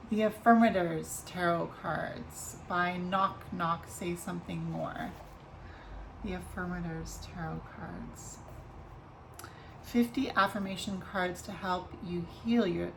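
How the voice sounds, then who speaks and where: A woman with a slightly raspy voice doing a casual tarot reading vlog, talking softly and unhurriedly with many ums and restarts. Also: fairly low pitch, slight background noise, low-quality recording. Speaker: tarot reader.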